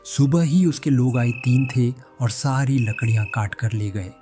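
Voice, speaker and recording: Loud music sits behind a man acting out a poor village woodcutter in a cartoon moral tale. He is a burdened husband and father with a medium-pitched voice. He pleads and laments, repeating himself in melodramatic style. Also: a little slow, lightly acted. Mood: bitter.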